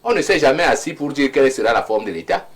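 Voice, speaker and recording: A man argues hard on a television debate panel. He presses his point with rhetorical questions in a mid-pitched voice. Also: lively intonation, fast. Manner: argumentative, fires off rhetorical questions.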